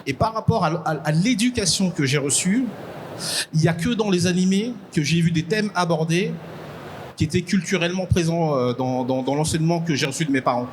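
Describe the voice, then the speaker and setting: Man speaking over convention noise. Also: fairly high pitch. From Congo. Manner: halting, repeats words while thinking. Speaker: podcast guest speaker. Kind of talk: live panel discussion. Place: convention stage before a live audience. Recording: noisy live event ambience.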